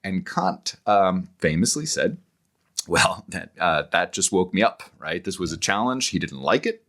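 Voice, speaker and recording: A man speaking as an interview guest, explaining ideas conversationally like an animated storyteller who acts out paraphrased quotes. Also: deep voice, a little slow. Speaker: interview guest, author and scholar.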